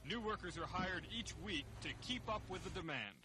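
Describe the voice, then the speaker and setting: High-pitched American newsman, faint noise. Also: expressive, normal speed. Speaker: TV news reporter. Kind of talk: scripted news narration. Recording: archival TV audio with faint noise.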